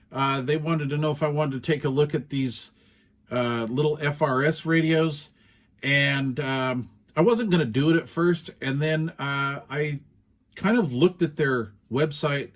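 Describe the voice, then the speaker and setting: A middle-aged man reviewing a small two-way radio in a relaxed, rambling way, with lots of fillers. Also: fairly high pitch. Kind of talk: casual product review.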